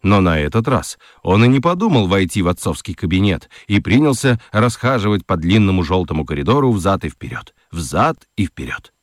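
Narrator for children's radio: man, fairly deep, expressive, dry studio sound. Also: brisk, hardly any pauses, dry recording. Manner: expressive storyteller. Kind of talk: scripted storytelling for children's radio.

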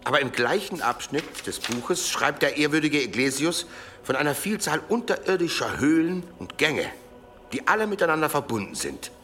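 An actor playing a dutiful monk scholar, deferential and explanatory, in a radio drama. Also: male voice, on the high side, big pitch swings, moderate pace, bright, forceful tone, slight background noise. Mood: anxious, explanatory. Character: dutiful monk scholar reporting old legends.

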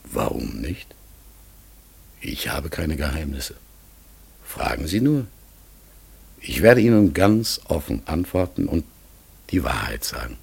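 A deep, hoarse, halting talking raven. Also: male voice, big pitch swings, full character voice. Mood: guarded, courteous. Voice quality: hoarse, gravelly, breathy, often dropping to a whisper. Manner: slow, halting, earnest, searching for words. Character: talking raven, polite, hesitant, forgetful, melancholy and mysterious. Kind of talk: classic German radio play acting.

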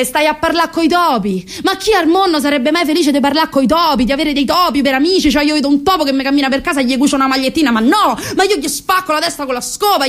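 Roman woman late 20s doing a sarcastic stand-up style theatre monologue, highish voice, very emphatic. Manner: colloquial, sarcastic, emphatic storytelling with rhetorical questions. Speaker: actress performing her own comic monologue.

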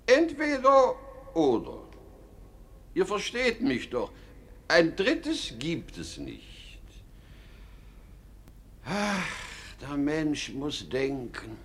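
Zerstreuter, pompöser König mit stark schwankender Stimme. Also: male voice, on the high side, wildly swooping pitch, husky, heavily acted. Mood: pompous, halting. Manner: halting, pompous, muddled, swooping from mutter to shout. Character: confused, absent-minded, pompous king. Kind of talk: theatrical German radio play acting.

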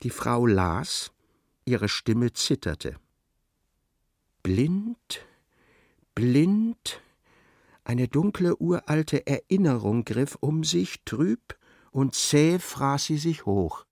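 A man's voice: medium pitch, big pitch swings, very slow, long pauses, a little mellow, dry recording, clean studio sound, heavily acted. A man narrating a literary radio play in a dramatic, scripted style.

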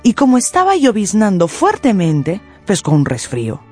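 A woman narrating a scripted radio drama in an expressive storytelling manner. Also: mid-range voice, moderate pace, slightly rough, faint music behind.

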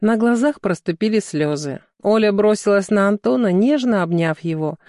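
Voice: mid-range voice, expressive, moderate pace, darkish tone, dry recording, studio quality. A narrator reading scripted fiction. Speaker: audiobook narrator.

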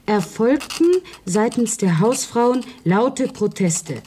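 An actress playing a plain-spoken, decisive housemaid in a radio play, husky and terse, correcting as she goes. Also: a little high, animated, slow pace, dark tone, full character voice. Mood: brisk, critical.

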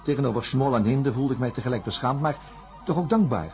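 An actor playing an anxious, conscientious doctor in a science fiction radio drama, with a grave delivery that turns halting under strain. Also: male voice, medium pitch, soft background music, close to an everyday voice. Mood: grave, reflective. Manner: grave, halting when agitated. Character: anxious, conscientious male doctor narrating a disaster.

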